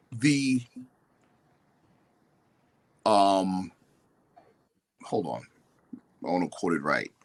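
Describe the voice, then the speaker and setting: A man speaking as a guest on a talk show, lecturing emphatically and mimicking other people. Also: mid-range voice. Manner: animated, emphatic, quotes definitions and mimics others.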